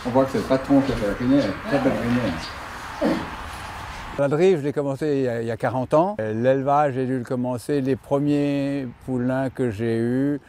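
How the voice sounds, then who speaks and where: Older horse breeder guy, mellow tone, stops and restarts a lot. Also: medium pitch, moderate pace, slight background noise. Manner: hesitant, many fillers and restarts. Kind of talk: interview reply.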